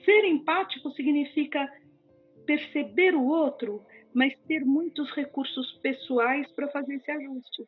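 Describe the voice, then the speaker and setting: A woman with a Brazilian Portuguese accent, a podcast guest explaining communication and empathy, animated and sometimes acting out other people. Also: sits high, measured pace. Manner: animated, acts out quoted people. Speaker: speech therapist guest. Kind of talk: podcast conversation. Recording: phone-like lo-fi audio with faint music.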